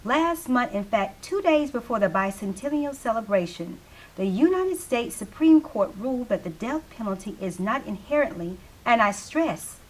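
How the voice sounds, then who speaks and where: A woman acts a local 1970s radio newscaster in a stage play. The voice is fairly high, and the announcing is chatty, colloquial and emphatic. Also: rough recording.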